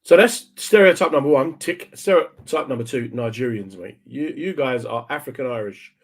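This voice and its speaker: A male radio host chats casually with a guest. His pitch is average for a man, and his talk is informal and bantering, moving along with short prompting questions. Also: animated, normal speed, breathy edge. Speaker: radio show host, interviewer. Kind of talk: casual chatty interview.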